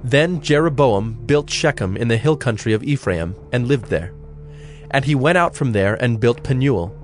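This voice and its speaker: A man narrating a dramatized Bible in a measured, solemn storytelling style. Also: mid-range voice, animated, faint music behind.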